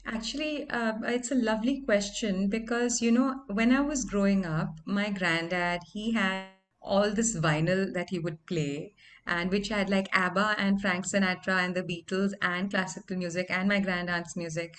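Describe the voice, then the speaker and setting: A woman in her thirties with a deeper voice, telling stories about her music in a lively, conversational way, from a small room at home. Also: moderate pace, slight background noise. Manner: animated, conversational storytelling. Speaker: singer and interview guest. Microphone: microphone on stand in home studio.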